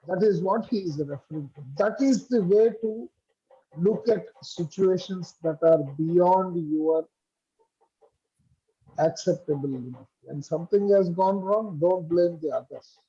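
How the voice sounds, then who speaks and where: A man leads a spiritual class over a video call. He pauses often to ask if his listeners follow. His voice sits fairly high for a man, with a dark, husky tone, and the call audio comes through muffled. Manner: measured, asks checking questions. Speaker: spiritual teacher. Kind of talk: online study group lecture. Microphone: computer mic on a video call.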